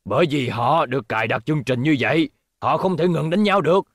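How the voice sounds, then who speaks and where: A man's voice: a little high, brisk, no echo, clean studio sound. A man dubbing an elderly, authoritative and exasperated professor in a cartoon, speaking emphatically. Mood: thoughtful, explaining. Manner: stern, emphatic, explanatory.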